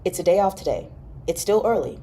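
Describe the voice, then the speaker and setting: A woman's voice: fairly low pitch, lively intonation, brisk, warm tone, a little hiss or hum, close to an everyday voice. A woman reading the part of a devoted, restless young nobleman in a storybook manner. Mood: concerned, intimate. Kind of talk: expressive audiobook-style novel reading.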